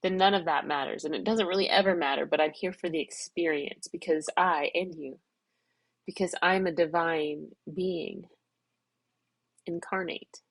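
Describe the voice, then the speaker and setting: A middle-aged woman speaks in a lowish voice, rambling and searching as she reflects aloud. She sounds congested from crying and keeps circling back to her point. The sound is dry and close, with no room echo. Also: fairly even intonation, moderate pace. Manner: rambling, tearful, self-reflective. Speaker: vlogger. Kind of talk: emotional personal vlog, external processing.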